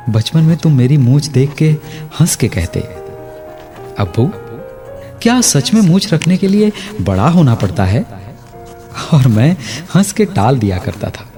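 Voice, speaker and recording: An actor playing an aging, remorseful scholarly father in a reflective radio drama, speaking pensively with halting, dramatic pauses. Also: mid-range voice, mellow. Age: older. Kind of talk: Hindi radio drama monologue, literary and reflective.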